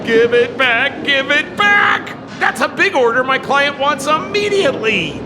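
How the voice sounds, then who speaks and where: A man's voice: very high-pitched, very animated, a little slow, background noise, full character voice. A man voicing a crafty, theatrical old tavern informant in a video game, teasing and telling tales with dramatic flair. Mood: indignant. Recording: loud music under the voice.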